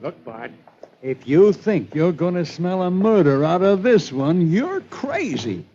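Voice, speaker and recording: An actor playing a gruff, impatient, skeptical police inspector in the style of 1940s radio drama. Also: male voice, high voice, sing-song, unhurried, dark tone, full character voice. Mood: impatient, forceful. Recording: dull, noisy old radio recording.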